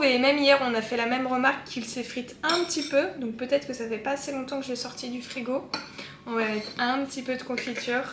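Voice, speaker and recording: Chatty high-voiced woman, echoey room. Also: a bit bright. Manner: chatty, candid, many fillers. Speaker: food-tasting vlogger. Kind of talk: casual taste-test chat. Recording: echoey room with faint background hum.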